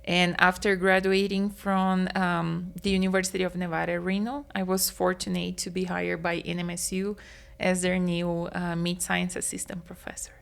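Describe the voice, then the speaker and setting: A woman, a meat science professor answering interview questions in an earnest, explanatory, slightly halting way. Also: fairly low pitch, flat delivery, vocal fry. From Brazil.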